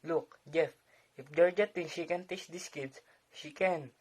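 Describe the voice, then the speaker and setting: A man acting a calm, supportive young husband in an amateur stage play. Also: a little high, unhurried, slight background noise, close to an everyday voice. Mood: frank.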